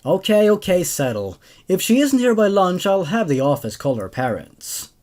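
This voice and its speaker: A man as a young woman kindergarten teacher, raised pitch. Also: very animated, moderate pace, a little mellow. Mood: calling out. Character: young female substitute kindergarten teacher, laid-back and kind.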